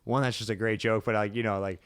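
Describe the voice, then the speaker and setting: A male comedian guest chatting casually on a podcast, halting with many fillers and restarts. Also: fairly low pitch, little pitch movement, clean tone, no echo.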